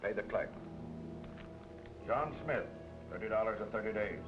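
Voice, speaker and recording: A man playing a brisk, bored night-court judge who reels off sentences flatly, in old film noir style. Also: on the low side, over music, close to an everyday voice. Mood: bored, officious. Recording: dull vintage film audio with hiss.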